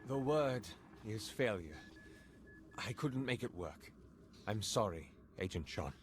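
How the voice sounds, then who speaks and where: A man's voice: on the low side, lively intonation, slow, slight background noise. A man playing an earnest, conflicted intelligence agent in a dramatic video game, with an urgent, pleading manner. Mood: grave, apologetic.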